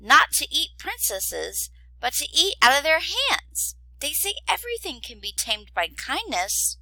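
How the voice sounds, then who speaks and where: A woman's voice: very animated, unhurried, no room sound, full character voice, rough recording. A woman voicing an eager, bossy young princess. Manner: high, loud and eager.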